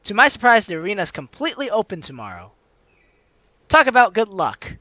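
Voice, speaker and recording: A woman's voice: mid-range voice, huge pitch swings, fairly quick, a bit bright, no echo, muffled recording. A woman doing a playful, slangy let's play, reading dialogue aloud and commenting casually. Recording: faint music behind the voice.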